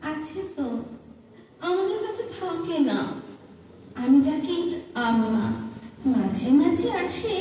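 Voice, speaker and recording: A woman acting an eager, lonely little schoolgirl in a stage drama, with a childlike, pleading, excitable delivery. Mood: fond, happy. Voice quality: childlike, high voice. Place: large hall at a recitation festival. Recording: echoey hall, distant mic, faint noise.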